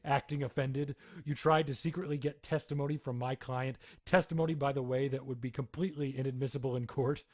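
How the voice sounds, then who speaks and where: A sardonic, combative male lawyer acted by a man in a soap drama. He sounds forceful and threatening, recorded close and dry. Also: mid-range voice, steady tone, brisk, no room sound, close to an everyday voice. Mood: sardonic, defiant. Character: combative, sarcastic male hotshot lawyer defending his client. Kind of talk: scripted audio soap drama acting.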